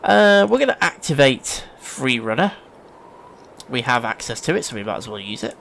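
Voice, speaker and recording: A man's voice: mid-range voice, sing-song, moderate pace, vocal fry, a bit bright, slight background noise. An English man with a sniffly hay-fever nose gives casual, chatty, self-deprecating let's play commentary as a gaming host. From England.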